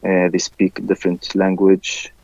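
A man whose first language is Arabic, a musician interviewed in English, who talks haltingly with many fillers and restarts. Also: deep voice, monotone, darkish tone, no room sound, highs cut off. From village near Haifa, raised there from first grade. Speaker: musician guest.

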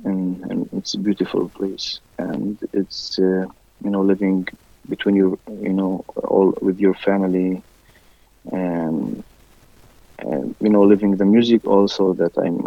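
Deep flat-voiced man, Arabic native. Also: dry recording, highs cut off. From village near Haifa, raised there from first grade. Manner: halting, many fillers and restarts. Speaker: musician guest. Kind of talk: interview.

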